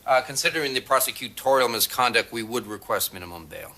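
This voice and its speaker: A man's voice: mid-range voice, fast talker, bright, forceful tone, close to an everyday voice. An actor playing an assertive male defense attorney arguing formally in a courtroom drama. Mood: argumentative. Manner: formal, argumentative.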